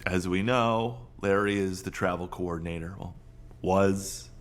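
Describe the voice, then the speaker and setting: An actor playing a neurotic, self-dramatising middle-aged boss in a comedic audio drama, rambling and theatrical. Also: male voice, deep voice, unhurried. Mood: sheepish, rambling.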